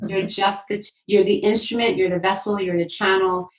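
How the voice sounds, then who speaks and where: An enthusiastic woman hosts a podcast conversation, affirming her guest warmly and speaking in quick bursts. Her voice sits at a middle pitch, with a roomy echo from a mic placed some distance away. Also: fairly even intonation. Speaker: podcast host. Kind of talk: conversational interview.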